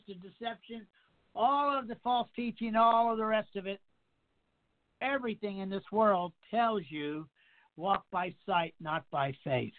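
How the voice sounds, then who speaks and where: A man in his sixties preaching a radio sermon, stacking up repeated phrases and emphatic rhetorical questions. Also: sits high. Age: 60s. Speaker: pastor. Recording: tinny phone line.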